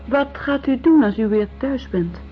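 Eager idealist, slow-paced, music behind. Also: female voice, a little high, expressive. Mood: curious. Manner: short, probing questions and firm replies. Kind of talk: radio drama acting. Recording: dull lo-fi radio drama recording.

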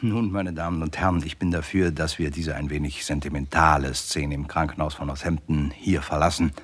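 An actor playing a suave narrator who addresses listeners formally in an old radio crime serial, in a husky voice. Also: male voice, extremely low pitch, fairly even intonation, fairly quick. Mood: measured, confiding.

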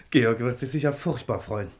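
A man's voice: mid-range voice, a little slow, a little mellow, lightly acted. A man playing a genial, playfully coaxing painter in a German radio play. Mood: fond, coaxing. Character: genial male painter charmed by a pet monkey.

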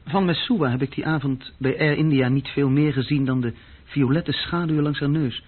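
A man's voice: mid-range voice, normal speed, breathy edge, lightly acted. An actor playing a restless young man telling of his adventures in a conversational radio-drama style. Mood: marvelling, animated. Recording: dull, lo-fi old radio recording.

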